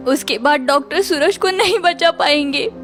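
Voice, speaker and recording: A distraught, pleading mother who works as a housemaid played by a woman in an animated children's tale. Her voice is high and wet with tears. Soft music runs underneath. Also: normal speed. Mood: tearful despair. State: tearful, sobbing. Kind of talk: children's cartoon story voice acting.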